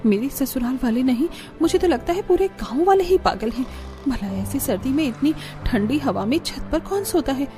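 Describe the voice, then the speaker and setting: Woman playing a young cartoon bride, high voice, exasperated, whiny, incredulous, soft music underneath. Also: animated, normal speed. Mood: exasperated, cold.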